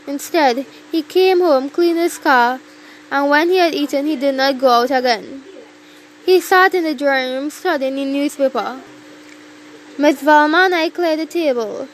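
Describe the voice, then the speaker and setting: Woman narrator, notably high voice. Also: slight background noise, rough recording. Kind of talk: scripted first-person story narration.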